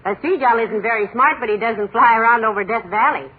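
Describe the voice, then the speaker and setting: A woman's voice: a little high, a little fast, phone-quality sound, natural voice. A woman doing a dry-witted, teasing wife. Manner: gently teasing, deadpan quips. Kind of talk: 1940s radio comedy acting. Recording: scratchy 1940s radio broadcast, hiss.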